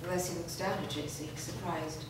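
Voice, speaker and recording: A woman with a slightly low voice narrates screenplay stage directions. She is heard from a distance in a very reverberant room, with noise behind her. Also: fairly even intonation, fairly quick.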